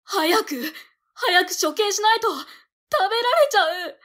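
A woman's voice: extremely high pitch, unhurried, a bit bright. A woman playing a timid, panicky young villager in a voice drama. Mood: terrified. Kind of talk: Japanese voice drama acting.

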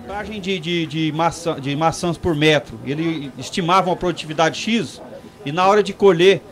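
A man in his thirties, a company representative, speaking informally into a handheld mic under an outdoor tent, explaining and checking in with his listeners. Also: fairly high pitch. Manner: fast, explanatory, many tag questions. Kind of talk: informal talk to farmers.

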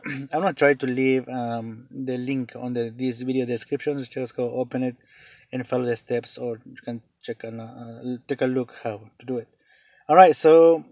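A man explaining a phone reset step by step off camera, halting with many fillers, in non-native English phrasing. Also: mid-range voice, no room sound, lo-fi sound. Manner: hesitant, many fillers and restarts. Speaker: tech tutorial channel host. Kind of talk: off-camera phone tutorial voice-over.

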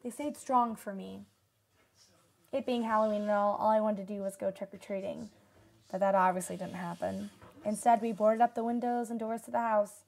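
A woman's voice: mid-range voice, level tone, moderate pace, gravelly, a little mellow. A young woman playing a solemn nineteen-year-old disaster survivor giving testimony in a radio drama. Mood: somber, reflective. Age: young adult.

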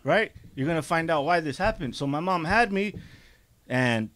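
A man's voice: fairly high pitch, lively intonation, moderate pace, a bit bright, no echo. A man in his thirties, a podcast guest raised in Mexico and California, telling his life story in a rambling way, close to a studio desk mic in a small studio. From raised in Juarez, Mexico and Azusa. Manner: rambling, repeats "you know". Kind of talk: casual storytelling.

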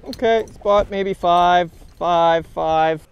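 Higher-voiced young Canadian man on a boat. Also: drawn-out words, muffled recording, slight background noise. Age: young adult. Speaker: host of a fishing show. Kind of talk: casual fishing vlog talk. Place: outdoors on a small boat on a lake.

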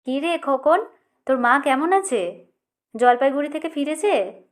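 A woman's voice: high-pitched, animated, unhurried, voice pushed up. A woman voicing a chatty, over-familiar middle-aged auntie in a story, gushing and nosy.

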